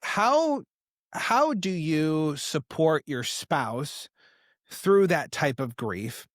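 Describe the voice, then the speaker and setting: A man hosting a podcast, asking questions gently and haltingly, with many restarts. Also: fairly high pitch, very animated, no echo, studio quality. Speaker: podcast host. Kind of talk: interview questions.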